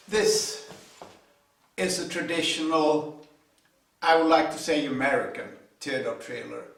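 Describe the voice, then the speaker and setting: Male, 50s, Swedish accent, hobbyist video presenter. Slightly high voice, halting, deliberate delivery, recorded off-mic in a garage. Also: fairly bright tone. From Sweden. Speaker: hobby builder presenting own video series. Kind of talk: informal explanatory talk to camera. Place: indoor home garage workshop. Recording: echoey garage, distant microphone.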